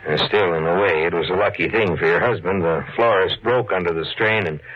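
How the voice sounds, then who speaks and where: Steady folksy policeman, deep and husky, matter-of-fact, vintage radio drama on a muffled recording. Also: fairly quick. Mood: explaining, earnest. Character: plain-spoken, steady male police officer. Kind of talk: 1940s radio acting. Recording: dull lo-fi 1940s radio recording.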